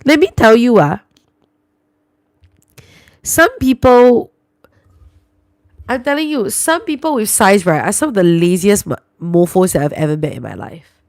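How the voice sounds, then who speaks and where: A young woman speaks with a Singaporean English accent, chatting loosely with her livestream viewers and answering their comments as they come in. Her voice has a gravelly edge and lively swings in pitch. The sound is dry and close. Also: a little slow, pauses often, dry recording. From New Zealand and Singapore. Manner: chatty, reacts aloud to comments, sprinkles lah and lor. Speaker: livestream host. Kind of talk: casual livestream chat reading viewer comments.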